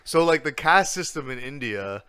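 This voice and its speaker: A young man talking as a podcast guest, animated and blunt, with lots of restarts and casual swearing. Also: mid-range voice, normal speed.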